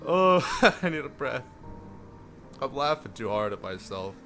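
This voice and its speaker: Man, bright forceful voice, animated pitch, theatrical game read-aloud, playful asides, music behind. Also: unhurried. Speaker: livestreamer reading a visual novel aloud. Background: faint music.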